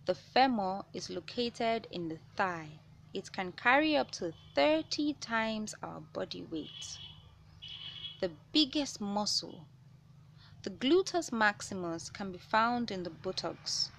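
A woman reads aloud from a children's science picture book at a slow, measured pace. Her voice sits at a middle pitch, with each phrase set out for young listeners. Some background noise runs under the recording. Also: lively intonation, creaky, a bit bright. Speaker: children's read-aloud host.

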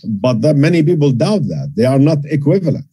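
Male questioner with a very dark, husky voice; direct, challenging webinar delivery. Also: on the high side, big pitch swings, brisk, no room sound.

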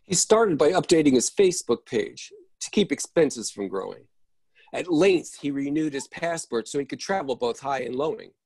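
A wry storyteller , played by a man, narrates comic verse with theatrical relish. The voice is fairly high and a little husky. It is a play reading with a dry sound. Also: expressive, normal speed, a little mellow, dry recording, exaggerated voice. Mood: wry, storytelling. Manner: theatrical, list-savoring rhymed delivery. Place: indoors at home on a video call.

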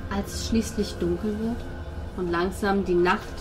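A woman's voice: mid-range voice, fairly even intonation, a little slow, music in the background. A woman narrating a tabletop role-playing game as its game master. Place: room with a tabletop gaming group. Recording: clearly noisy room recording.

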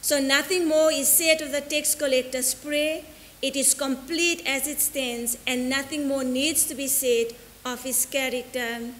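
A middle-aged woman priest from South Africa delivering a measured, deliberate sermon from the altar, slowing down for quotations. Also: high-pitched, fairly bright tone. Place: church sanctuary in front of an altar. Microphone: stand mic at the altar, filmed by a fixed camera. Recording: long church reverb with faint hiss.

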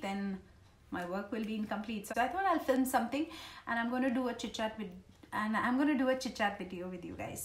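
A woman in her thirties with an Indian accent, chatting informally and ramblingly to camera as a vlogger in her living room. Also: on the high side. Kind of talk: casual chit-chat to camera. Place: small living room at home, indoors. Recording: roomy home living room echo.

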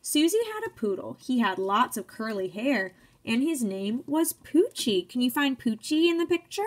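A young woman with a high-pitched voice reads a story for small children. Her delivery swoops up and down with lots of melody. She speaks simply and encouragingly, as a teacher does with early readers. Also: sing-song, normal speed, slight background noise. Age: young adult. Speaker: teacher reading aloud to children. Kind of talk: children's picture-book read-aloud with questions to listeners.

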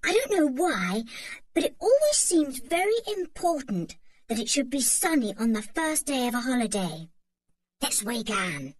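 A woman's voice: high-pitched, very animated, unhurried, breathy edge, no echo, pitched above the performer's own voice, exaggerated voice. A woman reading aloud, voicing a cheerful, exuberant schoolboy in a children's storybook. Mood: excited. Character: cheerful energetic older boy.

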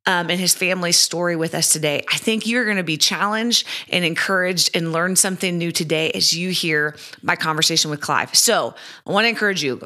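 A woman's voice: on the low side, fairly quick, sharp tone. A woman hosting an interview podcast, upbeat and enthusiastic, laughing often as she talks with her guest. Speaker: podcast host.

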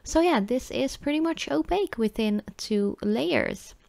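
A woman's voice: high-pitched, expressive, unhurried, creaky, darkish tone, dry recording. A woman who is a native Dutch speaker with a Dutch accent, giving a casual product review in a chatty, informal way. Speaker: nail polish reviewer.

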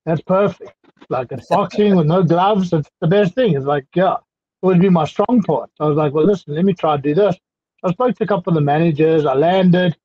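A South African man in his thirties chats easily as an interview guest, his voice husky and sitting a little high. He speaks over a headset from a small indoor room. The call audio sounds dull and lo-fi. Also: fairly quick, no echo. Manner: relaxed, chatty, laughs easily. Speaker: guest fighter. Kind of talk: casual video-call interview. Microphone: headset on video call.

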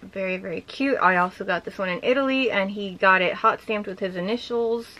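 A young woman chatting casually as she shows off items from her collection, gushing with lots of filler words and a slightly hoarse voice. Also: medium pitch, fairly quick, bright, forceful tone, poor audio. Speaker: vlogger showing her collection. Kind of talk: casual show-and-tell chat.

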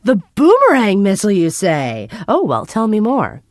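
An actress playing a chirpy, uncritical television news anchor in a parody newscast. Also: female voice, medium pitch, wildly swooping pitch, normal speed.

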